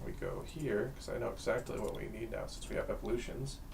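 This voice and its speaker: A man's voice: on the low side, steady tone, brisk, creaky, darkish tone, slight background noise. A man co-hosting a stream, laid-back and giving short replies while building a card deck. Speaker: stream co-host. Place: game store.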